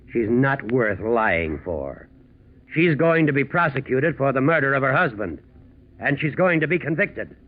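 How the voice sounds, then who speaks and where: Stern prosecutor, tinny vintage radio. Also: male voice, on the low side. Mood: grave warning. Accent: American. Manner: firm, accusing, deliberate. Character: stern, unyielding male prosecutor pressing a witness. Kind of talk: 1940s radio drama acting. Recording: tinny, faintly noisy 1940s radio recording.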